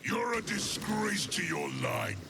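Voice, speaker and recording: An actor playing a brutal, contemptuous warlord tyrant in a video game, with a gravelly, menacing, commanding voice. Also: male voice, high-pitched, very animated, slow, exaggerated voice. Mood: commanding fury. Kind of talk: dramatic video game acting. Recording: loud music behind the voice.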